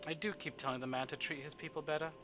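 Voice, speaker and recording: A man's voice: on the high side, steady tone, quick pace, muffled recording, background noise. A man live streaming, reading a story aloud and adding playful, exclamatory asides to his chat. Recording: music behind the voice.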